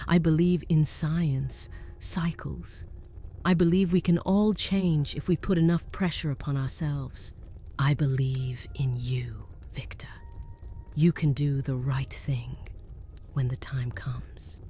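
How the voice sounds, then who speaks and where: Woman playing a low, dark-voiced earnest village woman. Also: fairly even intonation, slow pace, a little hiss or hum. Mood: earnest, hopeful. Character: earnest, principled young African village woman. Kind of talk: fantasy audio drama acting.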